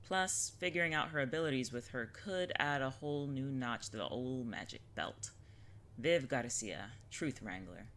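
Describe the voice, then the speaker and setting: A brash, jaded witch in an audio drama. The voice is bright and sharp, over some background ambience. She talks with wry, breezy, theatrical sarcasm. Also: very deep voice, a little hiss or hum, full character voice.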